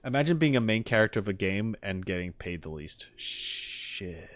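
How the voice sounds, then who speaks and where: A man's voice: on the low side, animated, moderate pace, fairly bright tone. A man chatting casually on a livestream as he reacts to game clips, opinionated and jokey, speaking into a close microphone.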